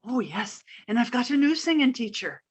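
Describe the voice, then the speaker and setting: A woman's voice: fairly high pitch, sing-song, moderate pace, slightly rough, muffled recording. A woman playing a fussy older Irish landlady with a proud, indignant manner in a 1950s-style radio comedy. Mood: chirpy. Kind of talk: 1950s radio sitcom acting.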